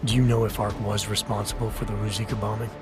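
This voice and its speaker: Man voicing a video game augmented agent, very deep voice, terse, calm, dry manner. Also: fast, music in the background, natural voice. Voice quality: terse, gravelly calm delivery. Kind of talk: video game cutscene acting.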